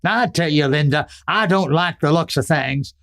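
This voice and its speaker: A man's voice: fairly high pitch, moderate pace, fairly bright tone. A man playing a worried, hot-tempered rancher with a rustic cowboy drawl in a Western radio drama.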